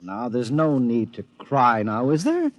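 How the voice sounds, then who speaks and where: An actor playing a distracted, impatient, hesitant husband in a 1950s radio drama. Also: male voice, medium pitch, wildly swooping pitch, measured pace. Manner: offhand, hesitant. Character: distracted, impatient husband, evasive and weary of affection. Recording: muffled old radio recording.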